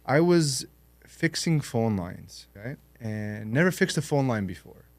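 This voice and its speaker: A young man born in Lebanon and raised in Canada, telling his life story in a relaxed, reflective way as a podcast guest. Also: mid-range voice, measured pace, no room sound. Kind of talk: interview storytelling.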